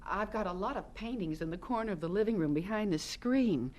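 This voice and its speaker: An actress playing a kindly, eager married lady who paints as a hobby, gentle and encouraging, in a radio drama. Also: medium pitch, lively intonation, brisk, a little hiss or hum, close to an everyday voice. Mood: playful, confiding.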